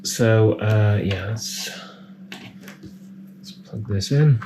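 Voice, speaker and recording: Low-voiced man thinking aloud, noisy background. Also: extremely slow. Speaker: electronics repair technician presenting a repair. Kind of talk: casual hands-on repair commentary.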